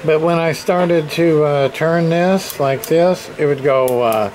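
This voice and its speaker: Slow-talking man, halting and noisy recording. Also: on the high side. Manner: hesitant, halting, muttering to himself. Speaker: hobbyist radio repair presenter. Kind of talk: casual hands-on demonstration, thinking aloud. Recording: clear background room noise.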